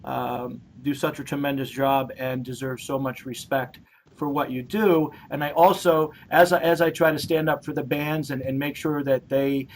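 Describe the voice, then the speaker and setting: A man's voice: mid-range voice, steady tone, moderate pace, slight background noise. A man hosting a conversational interview, rambling and repeating words as he works toward his questions.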